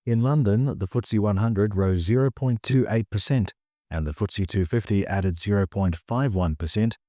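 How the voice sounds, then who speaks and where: A man hosting a financial news podcast, reading a script in a measured, polished newsreader delivery. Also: lowish voice, dark tone, dry recording, clean studio sound.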